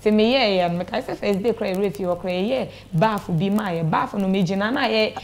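A woman's voice: mid-range voice, fast. A young woman with a Ghanaian accent giving a personal account as a studio interview guest, retelling conversations as she goes. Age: young adult. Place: small TV studio, indoors. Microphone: clip-on lapel mic.

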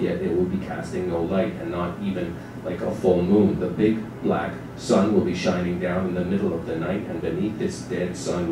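A deep-voiced man gives a measured dramatic reading of narrative prose on a small stage. His delivery is deliberate and literary, caught from far off in a heavily echoing, noisy space. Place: small theatre stage with seated audience. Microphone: distant camera microphone.

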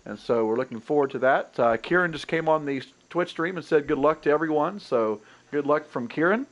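A man's voice: on the high side, a little fast. Stream host, man in his 30s, stuffy nasal voice, upbeat golf commentary, headset, slightly muffled audio. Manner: upbeat, welcoming, talks through his own shots. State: nasal, congested from a cold. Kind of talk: casual game commentary. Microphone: gaming headset.

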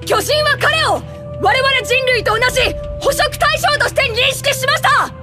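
Anime game boy cadet: shrill pitch, fervent speechmaking, strong score underneath. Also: unhurried. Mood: urgent pleading. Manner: impassioned, declamatory, urgent. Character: earnest teenage boy soldier pleading desperately. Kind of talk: dramatic Japanese anime game acting. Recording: loud orchestral music behind. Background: loud dramatic music.

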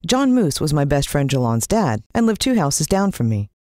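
An American woman narrating a children's story in the first person, expressive and suspenseful. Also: low voice, normal speed, no echo, clean studio sound. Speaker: audiobook narrator.

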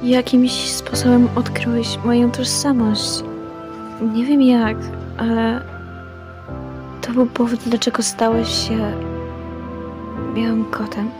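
Female actor as masked teenage heroine, higher voice, slow and pleading, protective, sad cinematic music underneath. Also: flat delivery. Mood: sorrowful, hesitant. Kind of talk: dramatic comic dub acting.

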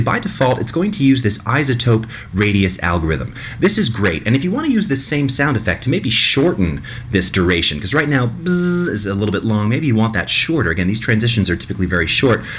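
A man in his thirties narrating a software tutorial in a conversational, demonstrative way, recorded indoors in a small room. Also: on the low side, slight background noise. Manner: animated, demonstrative, mimics sounds vocally.

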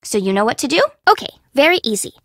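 A sassy young woman from a bento shop in a video game, pitched high with big, animated swings. She is bubbly and teasing, quick to turn sarcastic, and sounds dry and close. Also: no echo. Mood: breezy, friendly. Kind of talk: video game character voice acting.

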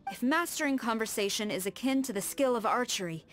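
An actress playing a stern, proud tengu warrior woman in a video game, with composed, firm and measured formal speech. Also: a little high, lively intonation, lightly acted. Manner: formal, measured, precise diction. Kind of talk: scripted video game character dialogue. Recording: studio voice over background music.

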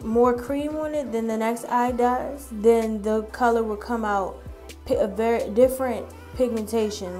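A woman's voice: a little high, a little mellow. A woman makeup artist giving a casual, chatty voice-over tutorial, informally addressing her viewers.

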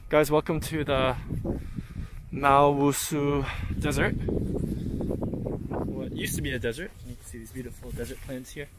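A man's voice: mid-range voice, steady tone, unhurried, pauses often. A man giving casual, off-the-cuff travel vlog commentary, chatting informally and thinking aloud. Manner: chatty, informal, speculating aloud, occasional swearing. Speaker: travel vlogger. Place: on location, outdoors and aboard a train. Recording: noisy handheld outdoor and travel ambience.

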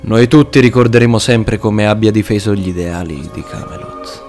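A noble, solemn king from a cartoon fandub, acted by a man, with a somewhat low, gravelly voice. He speaks formally and earnestly, with measured dignity, over faint music. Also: wildly swooping pitch. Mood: grave, mournful. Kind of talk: amateur cartoon fandub acting.

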